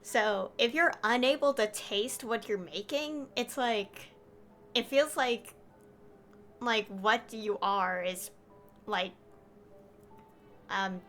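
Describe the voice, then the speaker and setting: A woman's voice: on the high side, takes it slowly, long pauses, fairly bright tone. A woman game streamer giving casual live reactions, hesitant and searching for her words. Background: music.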